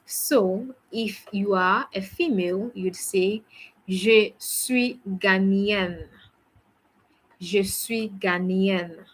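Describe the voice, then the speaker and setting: A woman's voice: mid-range voice, slow, low-quality recording. A young woman with Ghanaian roots teaching a French lesson, who repeats short phrases patiently for the learner to say back. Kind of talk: slow, drilling language lesson.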